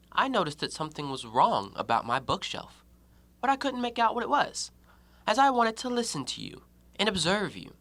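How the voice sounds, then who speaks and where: A man acting in an audio play as an educated, coolly logical, self-righteous man who argues scornfully in precise, deliberate diction. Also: fairly high pitch, sharp tone, no echo, heavily acted. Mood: resolved, accusing.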